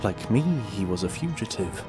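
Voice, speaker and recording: A man performs a grim, brooding fugitive from a dramatic short. The delivery is solemn and ornate, like a recitation, set over clear music. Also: low-pitched, animated, moderate pace.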